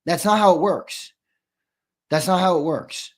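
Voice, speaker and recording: A man hosting a live sports talk stream, reading viewer comments and arguing back, emphatic and repetitive, his voice going hoarse. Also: high-pitched, measured pace. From United States. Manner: argumentative, emphatic, repeats himself for stress.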